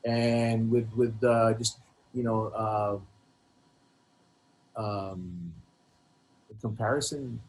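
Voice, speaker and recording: A man speaking casually as a music interview guest, in halting, repetitive phrases with many filler words. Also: lowish voice, fairly even intonation, a little mellow, a little hiss or hum, rough recording. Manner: halting, repetitive phrasing with frequent "you know". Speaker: guest hip hop artist.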